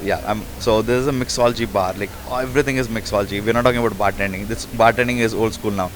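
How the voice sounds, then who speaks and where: A man's voice: lowish voice, fairly even intonation, fast talker, slight background noise. A man who owns a bar, talking emphatically and boastfully in an interview. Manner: brash, emphatic promoter. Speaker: bar owner. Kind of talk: casual interview.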